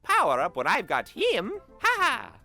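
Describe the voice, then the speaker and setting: A man's voice: high voice, huge pitch swings, normal speed, sharp tone, echoey room, soft background music, pitched above the performer's own voice, exaggerated voice. A man voicing a cheerful, cocky video-game plumber in a showy, exaggerated cartoon comedy style. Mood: cocky, playful.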